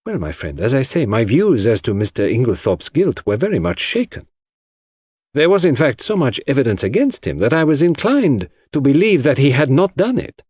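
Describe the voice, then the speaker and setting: A man's voice: medium pitch, big pitch swings, moderate pace, a little mellow, husky, no echo, studio quality. A man voicing a shrewd, self-assured foreign detective who explains in a theatrical, didactic way in an audiobook. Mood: explaining, assured. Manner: theatrical, didactic, sprinkles French phrases.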